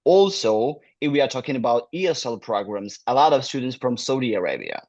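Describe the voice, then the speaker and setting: A man's voice: medium pitch, sing-song, fairly quick, a little mellow. Ukrainian-accented man on a dull call. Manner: non-native phrasing with frequent fillers. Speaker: guest, admissions manager. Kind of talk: casual interview talk.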